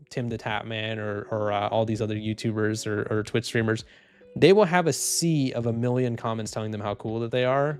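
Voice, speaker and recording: Laid-back low-voiced male streamer. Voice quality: low, even, unhurried voice. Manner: laid-back, rambling, many fillers and restarts. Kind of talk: casual livestream chat reading comments.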